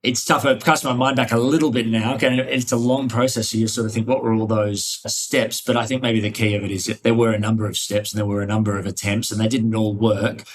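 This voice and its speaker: A man's voice: fairly low pitch, level tone, fairly quick, few pauses. An Australian man answering interview questions conversationally, in a reflective, discursive manner. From Hunter Valley, New South Wales, Australia. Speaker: interview guest, cardiologist.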